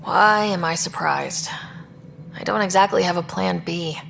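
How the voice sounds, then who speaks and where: A woman's voice: fairly low pitch, a little slow, creaky, fairly bright tone, faint music behind, heavily acted. Resolute young woman explorer in echoing hall. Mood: defiant. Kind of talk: video game dialogue acting.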